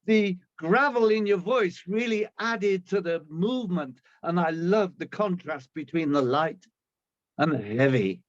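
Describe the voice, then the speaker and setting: A man, a guest musician, giving earnest, halting praise on a video call. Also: high voice, expressive. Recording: dull lo-fi video call audio.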